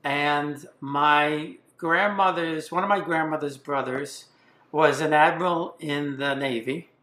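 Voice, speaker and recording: A man in his seventies hosting an interview, asking questions in a relaxed, chatty way, with plenty of fillers and restarts. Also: on the high side, slow, reverberant. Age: 70s. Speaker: podcast host, interviewer. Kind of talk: casual interview.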